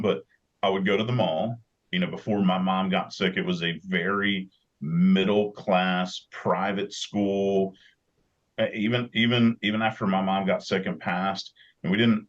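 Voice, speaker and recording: Man from maryland, husky deep voice, halting earnest talk, muffled lo-fi audio. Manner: earnest, halting, repeats words. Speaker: podcast co-host, EMS instructor. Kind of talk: casual chat. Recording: dull lo-fi audio.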